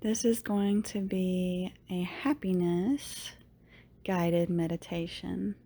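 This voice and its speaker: Very slow, soothing woman guiding meditation. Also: fairly low pitch, steady tone. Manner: soothing, unhurried, hushed phrase endings with long pauses. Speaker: yoga teacher, meditation guide. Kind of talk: guided meditation narration.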